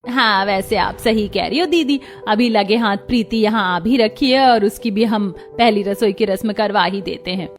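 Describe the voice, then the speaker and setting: A woman's voice: mid-range voice, moderate pace, few pauses, natural voice. A woman voicing a kindly middle-aged village mother-in-law in a Hindi cartoon story, motherly and approving.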